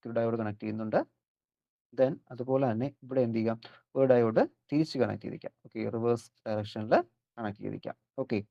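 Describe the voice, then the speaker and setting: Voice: mid-range voice, creaky. A lecturer with a Malayali accent from Kerala explains electronics step by step, posing questions and answering them and mixing in English technical terms. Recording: dull, lo-fi audio.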